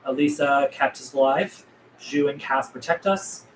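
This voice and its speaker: A man's voice: medium pitch, unhurried, close to an everyday voice. A man reading the part of a guarded, earnest zombie apocalypse survivor at a script table read, terse in manner. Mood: matter-of-fact. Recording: roomy, distant mic, faint noise.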